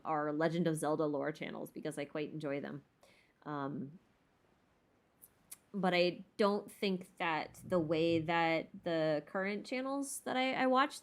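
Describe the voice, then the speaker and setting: A woman with a voice a little lower than usual talks casually as a streamer taking questions. She thinks aloud and wanders through her answers. Her manner is modest and self-deprecating, with nothing scripted about it. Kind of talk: casual unscripted Q&A chat.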